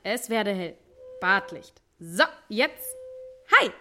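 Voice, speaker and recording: A woman's voice: mid-range voice, expressive, slow pace, sharp tone, slight background noise. A woman care worker chatting casually and coaxing in a playful way. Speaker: care worker in a nursing home. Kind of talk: casual chat while helping residents.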